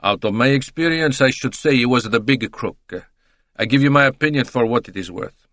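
A man's voice: medium pitch, moderate pace, a bit bright, dry recording, voice pushed up, natural voice. A man voicing a voluble, boastful, beaming salesman in an audiobook. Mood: knowing. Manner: gushing, eager, philosophical.

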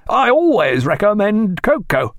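A pompous naval surgeon for a historical audiobook. The voice is pushed far up in pitch, loud and drawn out. Each word is laid down with dogmatic authority. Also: male voice, sing-song, measured pace, no room sound, full character voice.

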